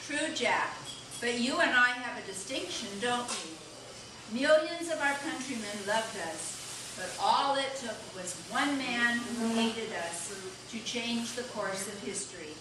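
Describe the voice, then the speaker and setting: A woman's voice: a little high, expressive, a little slow. Woman as solemn male president, echoey, distant. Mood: earnest. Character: solemn, reflective Abraham Lincoln in heaven, adult male president. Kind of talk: readers' theater stage reading. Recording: echoey room, distant mic, noisy.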